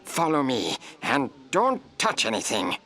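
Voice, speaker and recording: Bright-voiced, solemn alien elder. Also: male voice, medium pitch, huge pitch swings, a little slow, heavily acted. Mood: secretive, solemn. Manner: grave, dramatic, commanding. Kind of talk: video game character acting.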